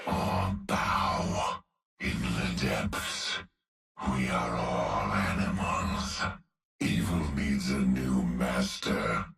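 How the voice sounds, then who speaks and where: A hulking cyborg executioner with a cavernous, gravel-rough voice, drawing out each menacing threat at a slow crawl. The lines sound distant in a roomy echo, with faint music underneath. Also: male voice, extremely low pitch, fairly bright tone, full character voice. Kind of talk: game voice lines.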